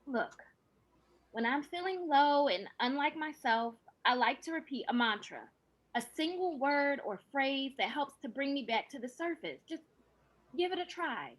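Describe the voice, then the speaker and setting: An actress voices a female talent agent with a high, very lively voice. She coaxes and justifies herself in a read-through of a screen drama. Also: moderate pace. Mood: soothing, defensive.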